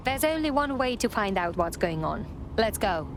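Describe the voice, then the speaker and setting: Terse, resolute resistance operative. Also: female voice, medium pitch, very animated, moderate pace, noisy background. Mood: focused. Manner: terse, serious, purposeful. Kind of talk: video game voice acting.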